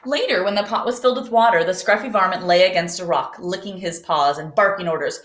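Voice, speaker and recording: A woman's voice: on the low side, fast, a bit bright, reverberant. A woman teacher reading a picture book aloud expressively and putting questions to young listeners.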